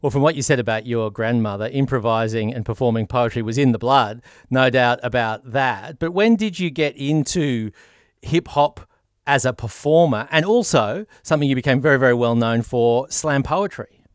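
A man interviewing a guest, asking measured, attentive questions. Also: medium pitch, dry recording, studio quality.

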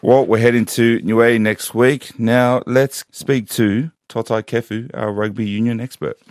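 A man co-hosting a podcast in casual chat, relaxed and self-mocking, tripping over words now and then. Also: mid-range voice, a little slow. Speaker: podcast co-host.

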